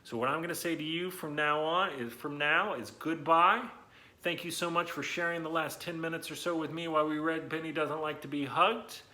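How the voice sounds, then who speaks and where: Begeisterter Kinderbuch-Vorleser mit heller, kräftiger Stimme. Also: male voice, a little high, steady tone, a little fast, pushed and bright. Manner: enthusiastic, encouraging, addresses children directly. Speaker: children's story reader and host. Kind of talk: children's read-aloud and talk to young viewers.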